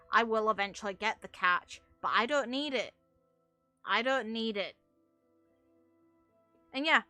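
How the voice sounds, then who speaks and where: Woman, game streamer, bright sharp voice, casual rambling rant, exasperated, blunt, sweary. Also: medium pitch, no echo. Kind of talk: casual ranting gaming commentary.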